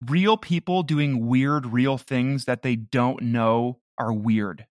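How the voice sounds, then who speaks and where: A man's voice: medium pitch, slow pace, no room sound. Male interview guest, mid-range voice, informal and playful, dry recording. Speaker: audio comedy creator, interview guest. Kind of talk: casual interview chat.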